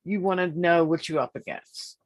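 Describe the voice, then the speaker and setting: A woman in her fifties hosts a casual interview. Her voice sits a little low, and she speaks slowly and emphatically, often repeating a phrase to drive it home. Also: a little mellow. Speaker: podcast host.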